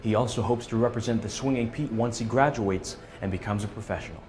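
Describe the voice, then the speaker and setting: A young man narrating sports news packages and reading a sportscast in a steady scripted delivery. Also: lowish voice, fast. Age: young adult. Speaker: student sports reporter.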